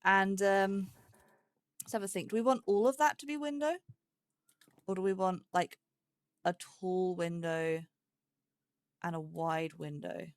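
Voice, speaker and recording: A woman's voice: medium pitch, unhurried, long pauses, no room sound. Woman thinking aloud over a game. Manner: thinks aloud in short, broken phrases. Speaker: let's play host. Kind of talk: casual gameplay commentary.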